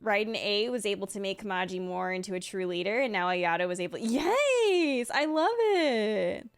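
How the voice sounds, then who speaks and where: A woman's voice: medium pitch, expressive, normal speed, clear, resonant tone. A young woman chatting casually as a streamer reacting to a game, in a gushing, emotive way.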